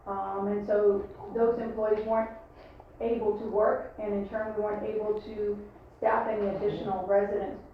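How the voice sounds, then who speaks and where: A heavily reverberant, far-off recording with faint noise carries a woman's voice. She reports to a board as a staff member, pausing and restarting in a hesitant way. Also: mid-range voice, little pitch movement. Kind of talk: spoken report at a board meeting. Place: meeting room, distant microphone. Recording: dull echoey far-off room, faint noise.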